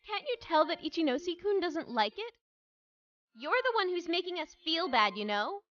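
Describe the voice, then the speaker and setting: A woman's voice: very high voice, big pitch swings, moderate pace, no echo, dull audio. A woman voicing a stern, serious teenage class monitor in an anime-style dub, blunt and commanding. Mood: annoyed. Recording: background music under voice.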